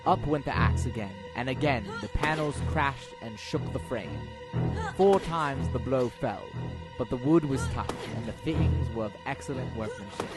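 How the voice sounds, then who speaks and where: A man's voice: medium pitch, moderate pace, over loud music. A young man reading scripted dramatic narration of a classic tale, as narrator of an audio drama. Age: young adult.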